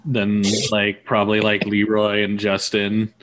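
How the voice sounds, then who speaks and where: A man chats casually as a podcast voice actor. His voice is fairly low but bright and forward. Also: completely flat delivery, a little slow, low-quality recording. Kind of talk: casual chat.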